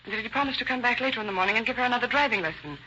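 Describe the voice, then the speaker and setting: A woman's voice: a little high, lively intonation, rapid-fire, sharp tone. An actress playing a worried, loyal wife hesitantly giving her statement to police in an old radio drama. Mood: troubled. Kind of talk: 1940s radio acting. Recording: muffled, hissy old radio recording.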